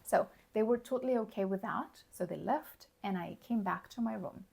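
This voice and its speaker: A hoarse woman giving a slow, clear English lesson, explaining words plainly and checking in with the listener. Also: medium pitch, a little mellow. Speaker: English teacher. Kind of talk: slow, clear teaching monologue.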